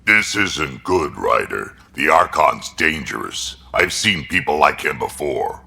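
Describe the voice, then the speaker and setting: An actor playing a gruff, ruthless, battle-hardened alien warrior in a video game, with a blunt, clipped way of talking. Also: male voice, very animated, unhurried, sharp tone, full character voice. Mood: grim, ruthless. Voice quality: very deep, gravelly.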